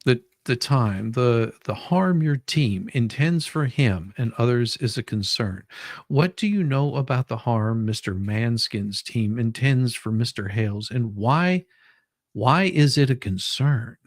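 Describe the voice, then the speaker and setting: A man, co-hosting an online show with halting, repetitive commentary and read-aloud questions. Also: mid-range voice, expressive, no room sound, clean studio sound. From Southern United States. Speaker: online show co-host reading a deposition. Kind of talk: casual commentary and dramatic reading.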